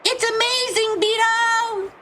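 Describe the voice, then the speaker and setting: A woman voicing an excitable, adoring little girl from a fantasy game with gushing, childlike exclamations. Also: extremely high pitch, takes it slowly, pitched above the performer's own voice. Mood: delighted.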